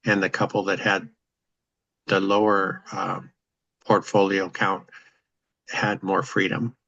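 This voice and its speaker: A man on a video call retelling a story in a steady way with some filler words. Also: deep voice, takes it slowly, vocal fry, lo-fi sound. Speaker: member of an accountability group. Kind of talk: casual video call chat.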